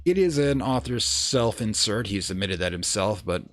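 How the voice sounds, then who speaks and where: A man's voice: lowish voice, animated, moderate pace, a bit bright. A man hosting a live radio play, giving an informal, wry introduction in an explanatory way.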